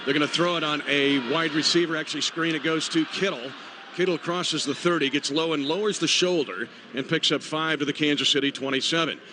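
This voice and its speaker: A man's voice: fairly high pitch, steady tone, brisk. An American man calling football play-by-play live on a radio broadcast. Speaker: play-by-play announcer. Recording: noisy stadium radio broadcast. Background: stadium noise.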